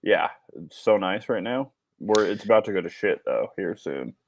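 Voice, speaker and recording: A man's voice: low-pitched, animated, moderate pace, creaky, a little hiss or hum. A young man co-hosting a sports podcast, laid-back, chiming in with short reactions. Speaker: podcast co-host. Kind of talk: casual sports podcast chat.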